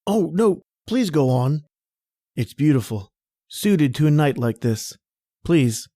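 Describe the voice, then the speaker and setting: A man's voice: fairly high pitch, very animated, slow, warm tone, dry recording. A man playing a charming, bold young suitor in an old-time radio drama, talking in a gallant, flowery way. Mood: charming, eager.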